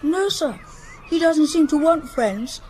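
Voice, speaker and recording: A woman's voice: sits high, animated, takes it slowly, warm tone, a little hiss or hum, low-quality recording. A woman playing a shy, timid schoolboy who answers politely and hesitantly in a radio drama. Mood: earnest, guarded.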